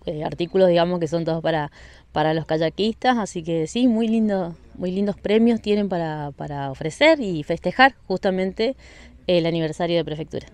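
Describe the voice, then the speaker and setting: Woman in her thirties, low voice. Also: animated, moderate pace, a little mellow. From Argentina. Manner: friendly, with frequent fillers and repeated words. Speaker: event organizer. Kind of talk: street interview. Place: outdoors on a riverside beach. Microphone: handheld mic held by interviewer.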